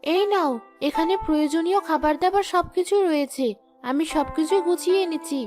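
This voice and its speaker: A woman's voice: very high-pitched, fairly quick. A woman voicing a practical, cheerful village housewife in a cartoon moral story. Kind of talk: animated moral story voice acting. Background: loud background music.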